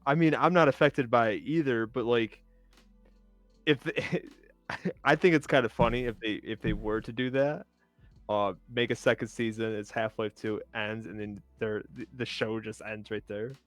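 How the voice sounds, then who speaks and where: A man with an average-pitched voice chats informally in a livestream panel. He tends to repeat words as he gets going, and music plays faintly underneath. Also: steady tone, moderate pace, fairly bright tone, no room sound. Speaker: panelist in a video game discussion. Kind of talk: casual chat, livestream round table.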